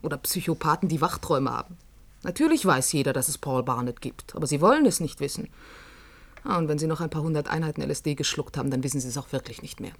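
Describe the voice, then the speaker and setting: An actress in a German science fiction radio play, playing a sceptical, outspoken companion with a husky voice and insistent manner. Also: female voice, low-pitched, fast. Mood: sardonic. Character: sceptical, outspoken woman, companion in a risky search.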